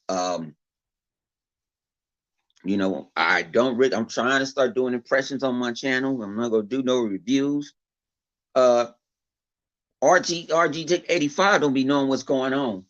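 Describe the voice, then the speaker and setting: A man with a big, booming voice talks off the cuff to his viewers, circling his points with fillers, restarts and plenty of swearing. The sound is dull and lo-fi, missing its top end. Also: a bit bright. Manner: rambling, profane, full of fillers and repeats. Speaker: gaming YouTuber. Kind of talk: casual off-the-cuff vlog rant. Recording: dull lo-fi recording.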